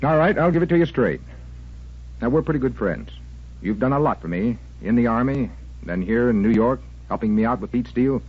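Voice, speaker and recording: An actor playing a blunt, halting, self-deprecating veteran in a 1940s-style radio soap. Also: male voice, mid-range voice, expressive, breathy edge. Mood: resentful. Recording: muffled vintage radio drama recording.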